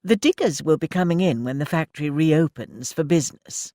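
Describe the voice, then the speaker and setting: A man's voice: fairly high pitch, expressive, a little fast, dark tone, no echo, clean studio sound, lightly acted. A man voicing a refined middle-aged lady who speaks with prim, patronising politeness. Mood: officious. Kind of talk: audiobook character voice.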